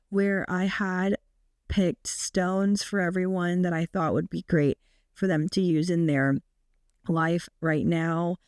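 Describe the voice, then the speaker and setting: A woman in her twenties hosting a casual solo podcast, her naturally raspy, deep voice made hoarse by a recent illness, chatting with frequent fillers. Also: a little mellow, dry recording, clean studio sound. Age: 20s. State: sick, hoarse. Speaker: podcast host.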